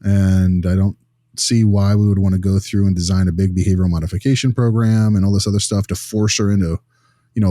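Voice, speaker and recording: A man's voice: lowish voice, mellow, no echo, studio quality, lightly acted. A man playing an earnest behavior consultant in an improvised role-play, reasoning aloud in a casual, rambling way. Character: earnest male behavior analyst consulting on a child's case.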